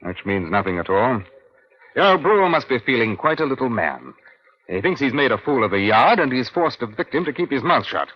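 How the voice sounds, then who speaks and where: An actor playing a senior British police inspector in an old-time radio crime drama, measured and authoritative with a dry, wry edge. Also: male voice, medium pitch, sing-song. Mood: wry, musing. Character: male senior Scotland Yard police inspector, brisk and wry. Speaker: narrator and lead detective. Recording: dull, lo-fi vintage radio recording.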